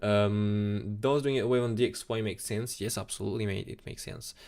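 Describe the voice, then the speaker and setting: A young man with a French accent talks quickly and casually on a live stream from his desk. His lowish voice has a gravelly fry, and he gets excitable, repeating himself and restarting his sentences. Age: young adult. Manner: excitable, repeats "absolutely insane", many restarts. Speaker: crypto chart analyst streamer.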